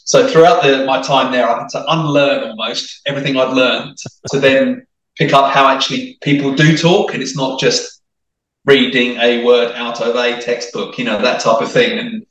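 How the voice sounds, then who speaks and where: A man's voice: medium pitch, steady tone, normal speed, a bit bright, far from the mic. A middle-aged man whose first language is Italian, with a mixed, hard-to-place accent, talking casually as an interview guest, full of restarts and repeated words. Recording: echoey, from off the mic.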